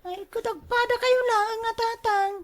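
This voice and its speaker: A woman's voice: extremely high pitch, steady tone, measured pace. A woman acting an assertive younger woman in a family radio drama, speaking emphatically with exclamations. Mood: indignant.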